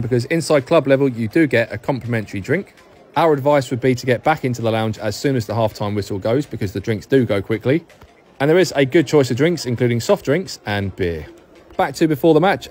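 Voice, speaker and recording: A man reads a scripted review voice-over at a middle pitch. He delivers it upbeat, one tidy phrase after another, each settling downward at the end. Music plays faintly behind him. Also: darkish tone. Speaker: hospitality review host. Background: background music.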